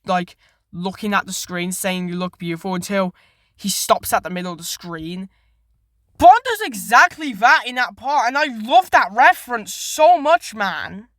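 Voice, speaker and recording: A woman's voice: mid-range voice, fairly bright tone, dry recording. A woman giving casual, unscripted commentary as a video host, rambling through a retelling with enthusiasm and frequently correcting herself. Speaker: video host reviewing a horror series.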